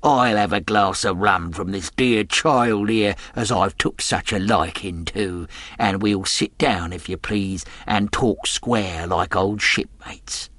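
A sly old pirate from a storybook, played by a male narrator. He wheedles with false politeness and quiet menace, in a dry, close recording. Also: on the low side, slow, no room sound. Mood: sly, wheedling.

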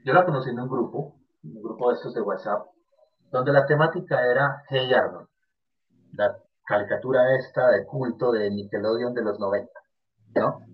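A man's voice: medium pitch, normal speed. A man in his late thirties with a Colombian accent, chatting casually and emphatically, full of little conversational tags. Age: late 30s. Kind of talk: casual chat. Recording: muffled lo-fi audio.

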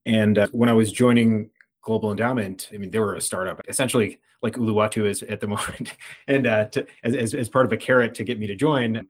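A man in his thirties from the Philadelphia area, a fund manager talking as a podcast guest in a relaxed, conversational way that often restarts phrases. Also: fairly low pitch. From United States (Philadelphia area). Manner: conversational, frequent restarts and repeated words. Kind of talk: podcast interview.

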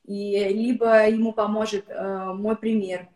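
A woman's voice: medium pitch, level tone, darkish tone. Russian-native woman, echoey video call. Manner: halting, frequent filler sounds. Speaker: guest, therapist-coach. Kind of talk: casual interview over video call.

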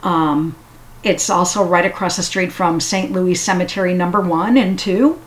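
A woman giving a casual spoken history talk, unhurried and halting with frequent fillers. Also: on the low side. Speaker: history storyteller.

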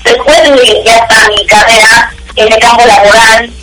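A woman's voice: on the high side, fairly even intonation, moderate pace. A woman from Mexico with a Mexican accent, giving her opinions emphatically in a casual discussion as an interview guest. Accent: Mexican Spanish. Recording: roomy, distant phone-like call with music.

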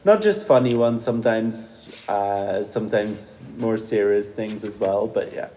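A man's voice: on the low side, unhurried, warm tone, slight background noise. A man, a Dutch speaker and teacher, answering interview questions thoughtfully and hesitantly with many fillers. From Flemish Belgium. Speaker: primary school teacher interviewed by a pupil.

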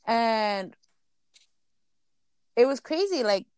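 A woman's voice: on the high side, expressive, extremely slow, a little mellow, smooth, no echo, clean studio sound. Chatty, rambling woman podcast co-host. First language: Spanish. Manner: rambling, nervous, laughs often; stutters when nervous. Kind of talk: casual chat.

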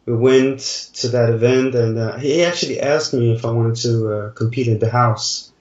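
A man in his forties speaks in a slow, halting way, often stopping to find the right word. His voice comes through a distant, roomy remote connection. The audio is dull and muffled. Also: mid-range voice, darkish tone. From Denmark. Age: 40s. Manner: slow, halting, searching for words. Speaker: dancer guest. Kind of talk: casual interview answers.

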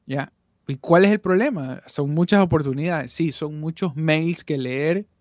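Higher-voiced man talking candidly on call. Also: a little slow, no echo, studio quality. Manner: candid, reflective, frequent restarts. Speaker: voice actor guest. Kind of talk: casual podcast interview. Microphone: video call.

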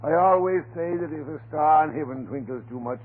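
An actor playing a chatty, stubborn off-duty police sergeant in an old-time radio drama, with a blustering, folksy way of talking. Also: male voice, on the high side, a little fast, husky. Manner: blustering, garrulous, folksy turns of phrase. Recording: noisy, narrow-band vintage radio recording.

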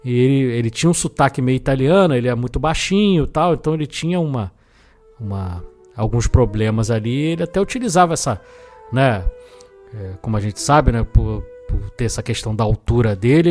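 A man's voice: medium pitch, animated, fairly quick, a little mellow. A man with a Brazilian accent hosting a film podcast, talking casually in an opinionated, explanatory and colloquial way. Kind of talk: casual film review discussion. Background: background music.

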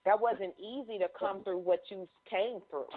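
A woman's voice: medium pitch, normal speed. Upbeat woman hosting over a phone line. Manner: upbeat, encouraging, effusive. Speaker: host. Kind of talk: casual conference-call chat.

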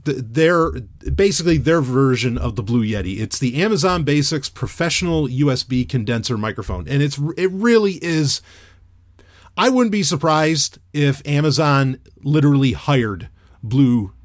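A man with a loud, radio-trained voice, chatting casually as a podcaster reviewing a microphone. He rambles with many fillers and restarts. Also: mid-range voice, lively intonation, normal speed, dry recording, studio quality.

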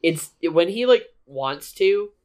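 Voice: medium pitch, lively intonation, takes it slowly, darkish tone. A podcast co-host in casual chat, laughing often and gushing with enthusiasm.

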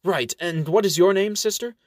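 A man's voice: high-pitched, moderate pace, a little mellow, no room sound, clean studio sound, pitched above the performer's own voice, close to an everyday voice. A man voicing a suspicious male dwarf bank clerk with a blunt, probing manner in a fantasy audio story.

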